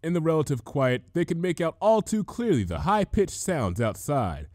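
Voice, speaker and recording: Man narrating a story, lively intonation. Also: fairly high pitch, normal speed, dry recording, clean studio sound. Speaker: fan fiction reader.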